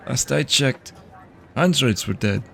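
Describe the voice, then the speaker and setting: A man acting as a grim veteran detective in an audio drama. His voice is gravelly and mid-pitched, and he speaks with halting, worn-out gravity. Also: animated, fairly bright tone, full character voice. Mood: grim, shaken. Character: weary, grim veteran male detective at a crime scene.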